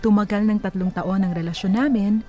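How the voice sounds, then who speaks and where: A woman acting a reflective adult narrator recounting her life story in a radio drama, with a Filipino accent and a measured manner. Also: medium pitch, fairly even intonation, warm tone, dull audio, soft background music, natural voice. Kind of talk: scripted first-person drama narration.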